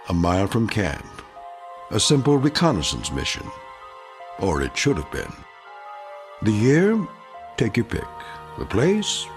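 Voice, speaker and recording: An actor playing a grave, philosophical storyteller who introduces an eerie radio tale in measured, portentous phrases. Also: male voice, on the low side, vocal fry, heavily acted. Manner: measured, portentous, rhetorical questions. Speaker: narrator. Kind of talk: dramatic scripted anthology narration.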